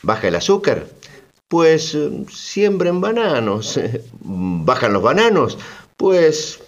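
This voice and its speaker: A man playing an indignant, sardonic storyteller in radio theatre, talking conversationally with expressive irony. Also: fairly high pitch, takes it slowly, lo-fi sound. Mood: bitter irony. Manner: expressive, ironic, mimicking orders. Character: indignant, sardonic male storyteller recounting colonial exploitation.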